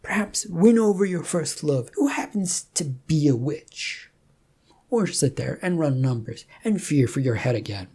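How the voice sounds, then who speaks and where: A woman's voice: low-pitched, lively intonation, a little slow, mellow, husky, full character voice. A woman voicing a blunt, weary male adventurer in an audio storybook, plain-spoken and sardonic. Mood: exasperated, firm.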